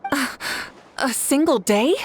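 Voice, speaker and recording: An actress playing a noble, resolute warrior leader in a video game, speaking in an earnest, formal and composed way. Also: female voice, sits high, expressive, slow, slight background noise. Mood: questioning. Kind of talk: video game character dialogue.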